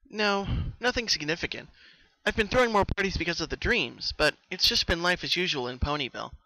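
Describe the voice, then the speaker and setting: A man voicing a troubled, sweet young female party pony in an earnest, emotional and animated way, as in a story reading. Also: sits high, a little fast, voice pushed up, heavily acted, rough recording. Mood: earnest, puzzled.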